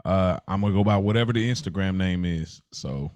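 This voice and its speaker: A man's voice: sits low, brisk, a little mellow, no echo. A man co-hosting and producing a podcast, chatting informally in slang and thinking aloud as he goes. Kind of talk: casual conversational podcast talk.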